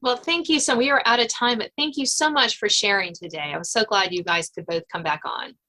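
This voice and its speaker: A woman's voice: medium pitch, a little fast, bright, forceful tone. A woman speaking as the host of an interview podcast, addressing guests and listeners.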